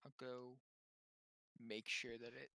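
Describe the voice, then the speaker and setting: Bright, forceful man at a game table. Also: medium pitch, little pitch movement, slow pace, gravelly, dry recording, studio quality. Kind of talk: casual game-table talk.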